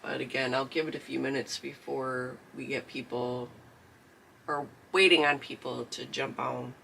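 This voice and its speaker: A man's voice: medium pitch, steady tone, moderate pace, gravelly, pushed and bright. A man from southeastern Michigan hosting a livestreamed crafting class, chatting casually with fillers, his voice scratchy from allergies. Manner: rambling, chatty, many fillers. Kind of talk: casual livestream chat before a lesson.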